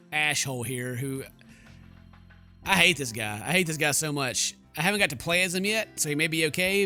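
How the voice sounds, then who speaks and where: A man gives casual, mocking commentary on a game he is playing. His voice is forceful and bright, pitched a little high, with faint music behind it. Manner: sarcastic, mocking asides. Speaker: gaming video host, let's player. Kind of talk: casual game commentary mixed with reading narration aloud.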